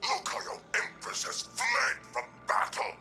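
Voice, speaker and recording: A man playing a huge, grandiose alien emperor in a video game, gravelly and scornful, orating theatrically in swoops between murmurs and shouts. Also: unhurried, faint music behind.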